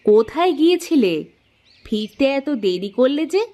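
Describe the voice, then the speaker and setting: High-voiced, practical village wife acted by a woman. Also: sing-song, a little slow, dark tone. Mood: nagging. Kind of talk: cartoon moral-story dialogue.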